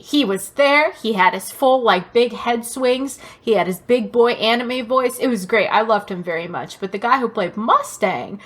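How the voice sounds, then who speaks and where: A woman co-hosting a podcast in casual chat, telling things in an animated, emphatic way with plenty of swearing. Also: fairly high pitch, moderate pace, few pauses. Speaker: podcast co-host. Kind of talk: casual pop-culture chat.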